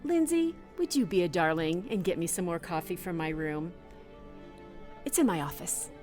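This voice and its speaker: A woman playing a scatterbrained, sugary high school drama teacher with an affected, theatrical manner. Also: expressive, moderate pace, a little mellow, soft background music, close to an everyday voice. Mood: sweetly dismissive. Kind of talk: scripted audio drama acting.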